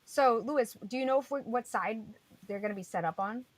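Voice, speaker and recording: A woman's voice: fairly high pitch, a little fast, dry recording. A woman co-hosting a casual panel chat in a playful, upbeat way. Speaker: co-host.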